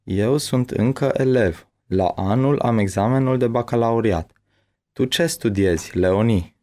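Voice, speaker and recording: A man reading his part of a bilingual language-lesson dialogue with careful, deliberate enunciation. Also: medium pitch, mellow.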